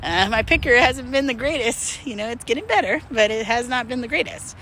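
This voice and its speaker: Female vlogger, bright forward voice, candid rambling pep talk, recorded outdoors with faint street noise. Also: on the high side, expressive, brisk, low-quality recording. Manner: candid, rambling, self-deprecating; talking while out walking. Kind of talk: casual motivational chat to followers. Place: outdoors, on a walk. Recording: outdoor background noise, urban traffic ambience.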